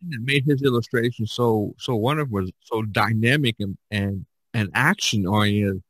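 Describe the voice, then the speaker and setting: A man's voice: fairly low pitch, normal speed, a bit bright, breathy edge, no room sound, rough recording. Man podcast host with a rambling delivery. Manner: rambling, repeats and trails off. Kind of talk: casual podcast discussion.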